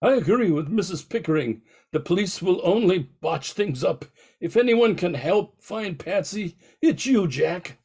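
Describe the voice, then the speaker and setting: A gruff, pompous old industrialist from a radio play, acted by an older man. The voice is husky and fairly high for a man. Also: expressive, measured pace, a little mellow, full character voice. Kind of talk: amateur old-time radio mystery acting.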